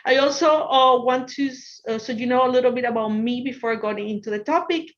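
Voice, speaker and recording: A woman with an Ecuadorian Spanish accent and a fairly high voice presents to students over a video call. A native Spanish speaker, she is encouraging and repeats phrases for emphasis. The sound is somewhat dull and lo-fi. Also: fairly quick. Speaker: guest speaker, financial consultant.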